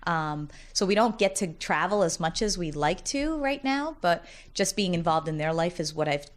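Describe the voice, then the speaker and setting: Low-voiced chatty woman in her forties. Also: lively intonation, normal speed. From United States, Massachusetts. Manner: talkative, upbeat storyteller who often laughs while talking. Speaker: college dean. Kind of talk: casual interview conversation.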